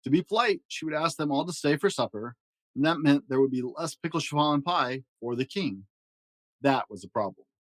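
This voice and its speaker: A man's voice: a little high, expressive, normal speed, no room sound, rough recording. Man reading a children's storybook, dry close sound. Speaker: podcast host reading a children's storybook aloud. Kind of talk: expressive storybook narration.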